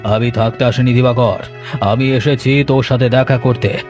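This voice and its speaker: A man voicing a stern, sly occult holy man in a horror cartoon, ominous and deliberate in manner. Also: medium pitch, fairly even intonation, darkish tone, soft background music, natural voice.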